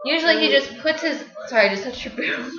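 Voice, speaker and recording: A young woman chatting in a vlog with a friend, animated and theatrical, in a small room at night on a laptop webcam. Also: high voice, fast. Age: young adult. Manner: animated, acts out lines from a play she rehearses. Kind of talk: casual chat. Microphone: laptop webcam at desk distance. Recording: roomy, dull lo-fi webcam sound.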